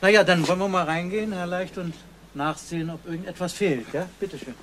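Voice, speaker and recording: Probing, calm police inspector. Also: male voice, high-pitched, a little fast, slight background noise. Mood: matter-of-fact, inquiring. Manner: probing, matter-of-fact questioning. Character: calm, methodical male police inspector questioning witnesses. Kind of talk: German crime radio drama acting.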